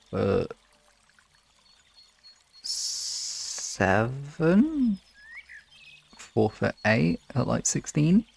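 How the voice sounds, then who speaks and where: Low, creaky-voiced man chatting casually. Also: a little hiss or hum. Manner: informal, rambling, swears casually. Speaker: ASMR streamer chatting with an audience. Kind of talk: casual chat, reading and answering comments.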